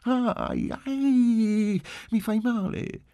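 A man's voice: high voice, very slow, warm tone, clear, resonant tone, no room sound, studio quality, voice pushed up, full character voice. A man reading a story, voicing a willful, pouty little girl who talks with insistent, self-important emphasis. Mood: whining protest. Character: small girl, willful, pouty, bossy know-it-all.

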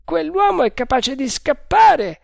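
A reader doing a nervy male soldier in an audiobook, swapping hushed talk about a prisoner with a comrade. The voice sits high and a little pushed, with very dry sound. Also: big pitch swings, unhurried, vocal fry, no room sound, full character voice. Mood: conspiratorial.

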